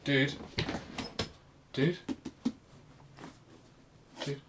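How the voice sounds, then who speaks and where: A man's voice: medium pitch, fairly even intonation, extremely slow, background noise. A man co-hosting a podcast as a matey, teasing quizmaster in casual chat. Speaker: podcast co-host.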